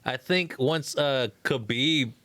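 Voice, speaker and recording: A man's voice: medium pitch, slow, sharp tone, no echo. A man talking casually about sports on a podcast, with slangy, profane, questioning talk. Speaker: podcast host.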